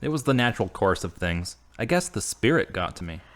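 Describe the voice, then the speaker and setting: A man playing an earnest, moody man returned to his faith, measured and subdued, in an audio drama. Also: on the low side, sing-song, fairly bright tone. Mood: reflective. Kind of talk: hobby audio drama acting.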